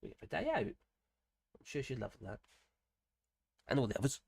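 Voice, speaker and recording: An older man with grandchildren, hosting a casual live stream, hoarse from a cold and chatting in a rambling way with many fillers and restarts. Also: mid-range voice, wildly swooping pitch, normal speed, a little mellow. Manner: rambling, chatty, with frequent fillers and self-corrections.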